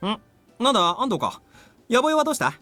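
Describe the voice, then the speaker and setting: High, lively teasing schoolboy. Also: male voice, rapid-fire, exaggerated voice. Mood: casual curiosity. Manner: playful, blunt, informal. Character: teasing, easygoing male high school student. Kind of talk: anime game voice acting. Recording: game music behind.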